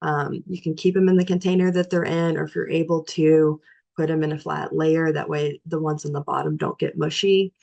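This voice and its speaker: Nutrition educator, young woman, low and gravelly, hoarse from a cold; easygoing teaching manner. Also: fairly quick. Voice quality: raspy. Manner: relaxed, practical, with frequent "um" fillers. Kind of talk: informal library talk on cooking.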